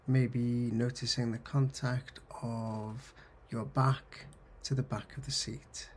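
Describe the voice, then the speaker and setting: A man leading a guided meditation in a husky, gravelly voice, giving gentle, soothing instructions in short phrases with pauses. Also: mid-range voice, flat delivery, a little hiss or hum. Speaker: counsellor guiding mindfulness. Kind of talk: guided meditation, body scan.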